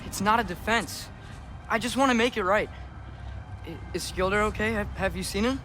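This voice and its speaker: A man's voice: high voice, moderate pace, sharp tone, slight background noise. A young actor playing an earnest, awkward teenage boy hero in a video game, with a hesitant, stammering manner. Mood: remorseful. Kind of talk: naturalistic video game cutscene acting. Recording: music behind the voice.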